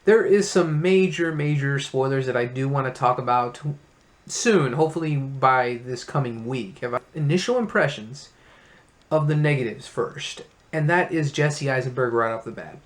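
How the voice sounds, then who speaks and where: A man's voice: mid-range voice, normal speed. A man hosting a casual movie review, talking as an enthusiastic fan in a room full of memorabilia.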